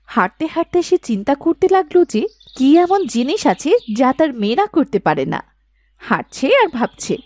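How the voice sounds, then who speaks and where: A woman reading scripted storytelling narration for an animated fairy tale. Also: fairly high pitch.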